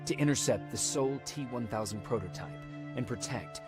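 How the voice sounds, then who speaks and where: An actor playing a weary, earnest resistance soldier in a video game drama, with a sombre manner. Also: male voice, medium pitch, lively intonation, fairly quick, lightly acted. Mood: sombre, reflective. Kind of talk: dramatic game dialogue and reflective narration. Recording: loud music behind the voice.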